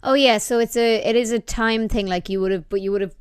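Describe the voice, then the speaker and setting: A woman's voice: on the high side, fairly even intonation, fairly quick, clear, resonant tone, no room sound, studio quality. A woman with an Irish accent hosting a podcast, chatting casually and asking curious questions. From Ireland. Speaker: podcast host. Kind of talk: casual chat.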